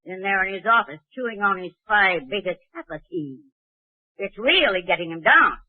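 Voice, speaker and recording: An actress playing a droll, gossipy school colleague in a 1940s radio comedy. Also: female voice, medium pitch, expressive, moderate pace, no echo, close to an everyday voice. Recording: dry, muffled 1940s radio recording.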